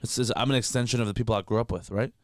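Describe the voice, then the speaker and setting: A man's voice: lowish voice, fairly even intonation, no echo, clean studio sound. A man from Canada, a guest comedian, chatting candidly on a podcast and swearing freely as he talks. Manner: rapid, candid, profane, heavy filler words. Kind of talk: casual podcast chat.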